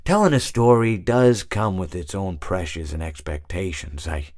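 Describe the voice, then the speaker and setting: Rambling old hippo, lilting and low. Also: male voice, expressive, moderate pace, a little mellow, no room sound, studio quality. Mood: meandering reminiscence. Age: older. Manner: rambling, hesitant, absent-minded, self-correcting. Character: rambling, mild-mannered elderly hippopotamus animatronic storyteller. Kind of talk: character monologue for a video game.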